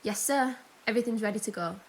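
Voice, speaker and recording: A woman's voice: a little high, fast, natural voice. A woman playing a firm, dutiful military figure in a radio drama, stern and clipped. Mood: brisk, resolute. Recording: faint hiss behind voice.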